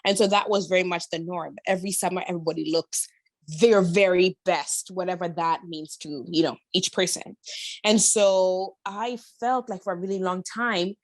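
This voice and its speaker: A woman's voice: lowish voice, fairly even intonation, normal speed, no echo. Woman, emphatic and close-miked. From Kenya. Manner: emphatic, slangy, motivational. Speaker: podcast guest, body-confidence influencer. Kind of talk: casual, personal interview chat.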